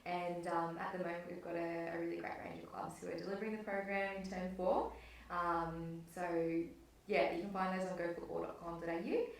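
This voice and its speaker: A woman's voice: on the low side, little pitch movement, brisk, creaky, a little hiss or hum. A young woman from northern New South Wales, answering interview questions in a friendly, hesitant way with many ums. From northern New South Wales, Australia. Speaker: guest, football program coordinator. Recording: echoey, distant from the mic.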